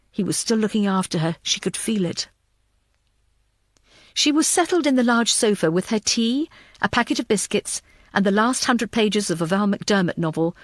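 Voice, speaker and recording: A woman's voice: medium pitch, dry recording, clean studio sound. A woman reading scripted thriller fiction as an audiobook narrator.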